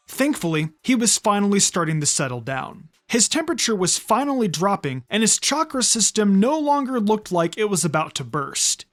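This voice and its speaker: A narrator giving an expressive reading of a fan fiction story. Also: medium pitch. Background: background music.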